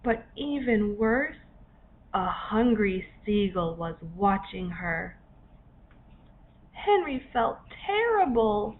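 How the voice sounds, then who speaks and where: A woman reads a children's story slowly and expressively, pausing between short phrases for drama. Her voice is fairly high and swings widely in pitch. The recording is a little dull. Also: very animated. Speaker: author reading own story. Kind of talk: children's story narration for young learners. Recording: dull lo-fi recording, faint noise.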